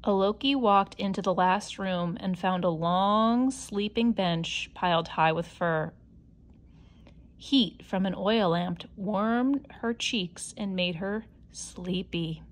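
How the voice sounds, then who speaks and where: A woman's voice: medium pitch, slow pace. A woman reading a children's picture book aloud as a scripted narration. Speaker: storybook reader.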